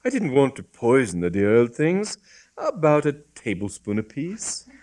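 A woman's voice: rumbling low, huge pitch swings, moderate pace, a little mellow, voice pushed up, heavily acted. A man voicing an earnest, naive young woman with a plaintive, innocent manner in a comic story. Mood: breezy, innocent.

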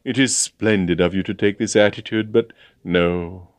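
A man voicing a sentimental old army colonel in a storybook, with halting, courtly, blustering speech. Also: on the low side, steady tone, clear, resonant tone, dry recording, close to an everyday voice. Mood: sad, noble. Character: bluff, sentimental, absent-minded old army colonel, wistfully noble. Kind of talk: dramatised storybook reading.

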